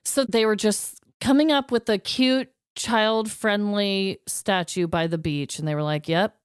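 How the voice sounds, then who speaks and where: A woman's voice: mid-range voice, measured pace, clean tone, no room sound, clean studio sound. A woman co-hosting a casual podcast chat, reacting with frequent laughter and casual swearing. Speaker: podcast co-host.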